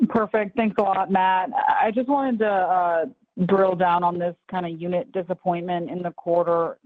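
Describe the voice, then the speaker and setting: A man's voice: high-pitched, steady tone, normal speed, dry recording. A man calling in as a financial analyst, asking a conversational question on an earnings call. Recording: thin dry phone line.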